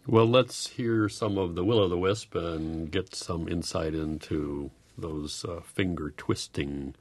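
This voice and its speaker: A man's voice: deep voice, slow pace, dry recording. A man hosting a radio program, introducing the next piece of music. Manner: brief interjections, introducing the music. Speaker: radio host. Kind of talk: radio interview.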